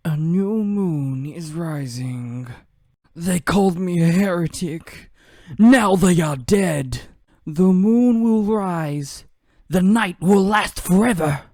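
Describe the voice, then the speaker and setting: A woman's voice: on the low side, slow pace, warm tone, dry recording, studio quality. A woman voicing a fierce, fanatical moon priestess from a game, solemn and intense. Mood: vengeful, fervent.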